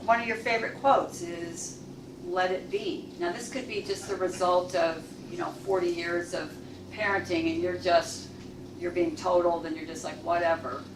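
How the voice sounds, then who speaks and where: A woman's voice: on the low side, steady tone, normal speed. A woman in her sixties or older, hosting a club meeting and asking chatty, joking questions. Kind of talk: casual onstage interview. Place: meeting room. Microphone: far camera. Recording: echoey room, far off, background noise.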